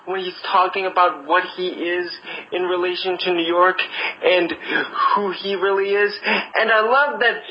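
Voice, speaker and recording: High-voiced man gushing on a voicemail. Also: fairly even intonation, measured pace. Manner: eager, gushing, repeats himself. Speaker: voicemail caller. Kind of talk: enthusiastic fan review. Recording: dull lo-fi voicemail audio.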